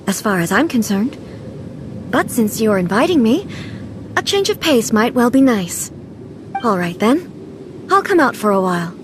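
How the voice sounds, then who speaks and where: High-voiced, dignified goddess. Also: female voice, background noise. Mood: curious, composed. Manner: measured, formal, stately. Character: composed, dignified young-sounding female deity, aloof and formal. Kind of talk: video game character voice acting.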